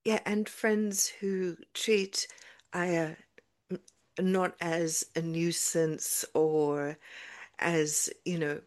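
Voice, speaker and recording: Woman interviewer, low voice, slow and thoughtful; restates before asking. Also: flat delivery, darkish tone. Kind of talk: interview questions.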